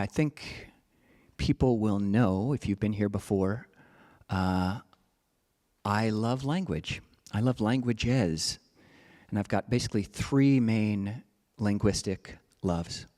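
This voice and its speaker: A man's voice: on the low side, darkish tone, no room sound, studio quality. A man from the United States, a Buddhist monk giving a casual, playful dharma talk. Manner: playful, chatty, breaks into joking slang voices.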